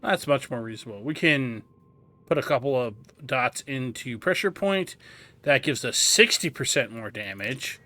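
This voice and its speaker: A man's voice: mid-range voice, expressive, normal speed, pushed and bright. A man giving a casual game walkthrough, explaining things as he goes and thinking aloud. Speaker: gameplay guide host.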